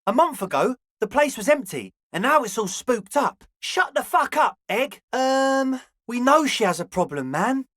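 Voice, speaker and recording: A male actor playing a young, excitable but laid-back club organiser in a video game, talking in earnest, slangy dude speak. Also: very high voice, a little slow, few pauses, dry recording, studio quality, heavily acted. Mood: chill, excitable. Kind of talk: video game character dialogue.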